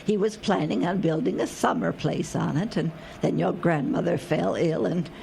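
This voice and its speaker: A woman's voice: on the low side, moderate pace, vocal fry, dark tone, slight background noise. A woman acting in a radio drama as a kindly, knowledgeable older local who explains things in a folksy, matter-of-fact way.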